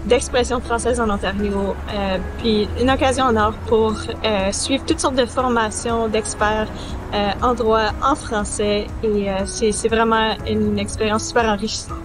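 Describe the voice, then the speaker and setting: A woman's voice: a little high, steady tone, unhurried, music in the background, low-quality recording. A woman giving a spontaneous testimonial in casual, unscripted speech. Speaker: congress member.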